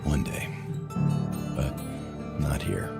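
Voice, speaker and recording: A middle-aged American soldier with an exceptionally deep, gravelly voice. He narrates like someone looking back, measured and reflective, quietly determined to get home. Music plays behind this video game monologue. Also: little pitch movement, full character voice. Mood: wistful.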